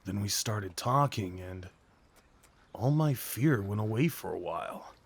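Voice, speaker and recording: A man playing a shy, sweet gentleman on a first date in an ASMR roleplay, speaking in an earnest, hesitant, tender way. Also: lowish voice, expressive, a little slow, creaky, husky, heavily acted. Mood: nervous, earnest. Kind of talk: ASMR roleplay, intimate scripted acting.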